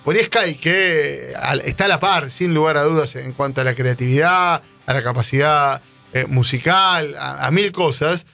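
A man's voice: fairly high pitch, normal speed, vocal fry, sharp tone, faint music behind. A man in his forties with an Argentine Buenos Aires accent, chatting casually on the radio in rambling anecdotes, often feeling around for names. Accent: Argentine Buenos Aires Spanish. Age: 40s.

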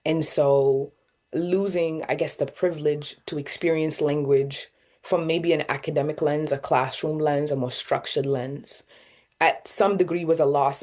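A young woman from Sudan, Arabic her first language, answers in a low voice. She searches for her words, circling back with fillers and restarts as she reflects. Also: a little mellow. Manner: thoughtful, many fillers and restarts. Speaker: guest poet and artist. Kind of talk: reflective interview talk.

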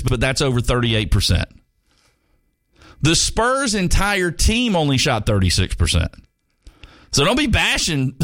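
Male sports radio host, 50s, lively sing-song delivery, chatty and jokey, dry close sound. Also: fairly high pitch, no echo. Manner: rambling, jokey, many restarts. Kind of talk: casual sports talk monologue.

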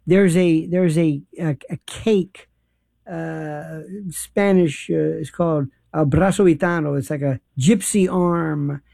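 A man hosts a casual talk, his voice mellow and warm. He is animated and halting, starting sentences over and filling gaps with "uh". The sound is dry and close. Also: on the high side, vocal fry, no echo. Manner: animated, halting, many restarts and fillers. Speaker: host. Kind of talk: casual conversation.

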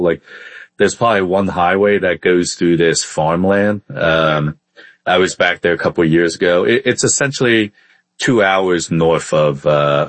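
A man's voice: deep voice, no room sound. A man from Vietnam who moved to the US as a child, telling his story casually as an interview guest, hesitating often. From born in Vietnam, in the US from age ten. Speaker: podcast guest, entrepreneur.

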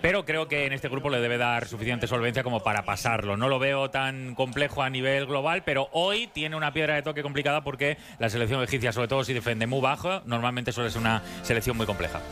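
Bright, forceful male football pundit. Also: mid-range voice, fast, faint music behind. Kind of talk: live radio analysis.

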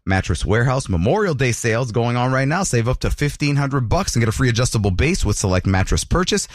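A man hosting a morning radio show, chatting with a caller and reading ads in a lively, chatty way. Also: medium pitch, fast, dry recording, studio quality. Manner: lively, chatty, laughs easily. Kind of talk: radio show talk and ad reads. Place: radio studio.

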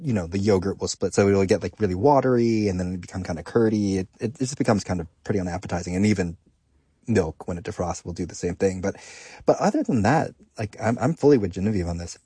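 A man's voice: low voice, quick pace, mellow, husky, no echo, studio quality. A man hosting a call-in show with casual, playful chat.

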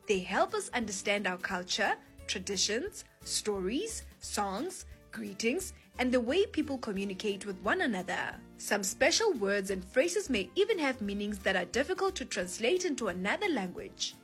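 A woman's voice: medium pitch, big pitch swings, moderate pace, few pauses, soft background music. A South African woman hosting a children's show, giving a scripted lesson and addressing listeners as friends. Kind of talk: scripted educational presenting for children.

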